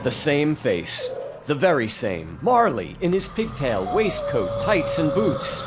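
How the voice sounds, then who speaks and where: A man's voice: on the high side, wildly swooping pitch, slow pace, exaggerated voice. A man narrating a Victorian ghost tale as a storyteller in a live radio drama. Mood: measured, vivid. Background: loud dramatic music.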